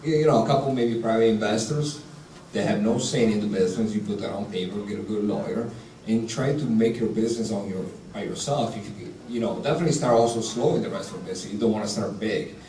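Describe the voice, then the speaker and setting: Italian-accented chef in an echoey hall. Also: male voice, lowish voice, fast talker, mellow. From Italy. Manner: direct, practical, with broken grammar. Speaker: executive chef on a panel. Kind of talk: panel discussion, advice. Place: large hall. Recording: echoey hall, distant mic, light noise.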